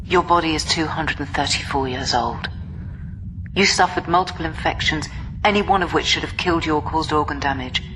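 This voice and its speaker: Actress as a low-voiced, guarded medical keeper. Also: female voice, background noise, lightly acted. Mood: clinical. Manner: measured, restrained, clinical. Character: guarded, concerned female medical keeper of a quarantined patient. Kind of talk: radio drama acting. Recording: radio drama with clear music underneath.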